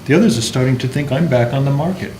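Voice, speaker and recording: A man's voice: mid-range voice, fast, darkish tone, close to an everyday voice. A man reads as a polite 1950s husband in a stage play. The manner is mild and placating. The sound is echoey, with faint noise. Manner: mild, apologetic. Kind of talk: amateur stage play table read.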